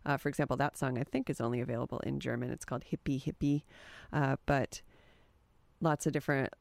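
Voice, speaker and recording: A woman's voice: very deep voice, steady tone, fast, vocal fry, darkish tone, no echo. A woman hosting a college radio music show, chatting in an easygoing, meandering way between songs in a studio. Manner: easygoing, meandering, enthusiastic about old records. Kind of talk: casual radio back-announcing and chat.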